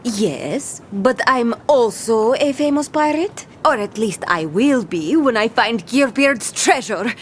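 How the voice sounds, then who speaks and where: A woman's voice: fairly high pitch, lively intonation, slow pace, hardly any pauses, a little hiss or hum. A woman playing a bold, boastful young pirate captain in an audio drama, with theatrical, swaggering pirate talk. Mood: boastful, wary.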